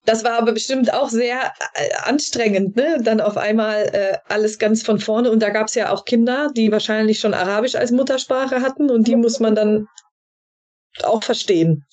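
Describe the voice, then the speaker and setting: A woman hosting a conversational podcast interview, phrasing her questions in a searching, halting way with trailing tags. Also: fairly high pitch, a little mellow. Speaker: podcast host interviewing a guest.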